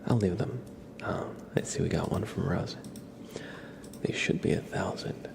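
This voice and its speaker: A man hosts an ASMR stream in a breathy whisper that often sinks into heavy vocal fry. He speaks very slowly and gently, drawing out his words as he chats casually to viewers. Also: low voice. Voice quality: breathy whispering and soft murmuring, gravelly.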